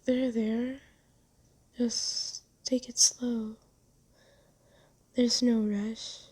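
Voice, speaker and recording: Woman acting as a very slow, soothing genteel vampire. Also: a little high, level tone, breathy edge, heavily acted. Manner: soft-spoken, comforting, unhurried, politely genteel. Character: gentle, soothing, genteel vampire who bought a captive. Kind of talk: ASMR roleplay, scripted character acting.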